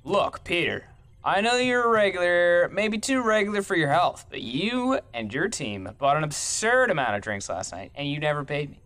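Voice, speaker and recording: A young man chats through a video game from his home room, goofy and rambling, always ready with a joke. His voice sits a bit high and bounces around with animated inflection. Game music hums faintly behind him. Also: moderate pace. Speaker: gaming streamer. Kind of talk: let's play commentary.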